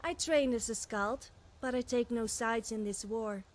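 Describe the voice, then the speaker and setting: An actress playing a proud wandering bard in a fantasy video game, speaking in a lofty, declamatory way. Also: female voice, a little high, measured pace, a little hiss or hum, natural voice. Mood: proud, composed.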